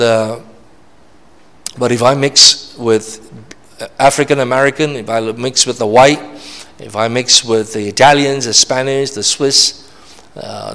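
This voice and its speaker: A man delivers a sermon in a large church hall, where his voice trails off in a long reverb. He is emphatic and repetitive, keeps checking in with "all right?", and speaks at an ordinary middle pitch. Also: fairly bright tone. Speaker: preacher.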